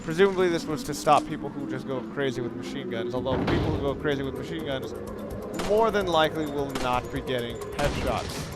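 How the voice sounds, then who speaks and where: A man's voice: medium pitch, brisk. A man giving casual, hesitant video game commentary with wry, self-deprecating asides. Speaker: video game let's player. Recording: game music and gunfire behind. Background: game music, gunfire.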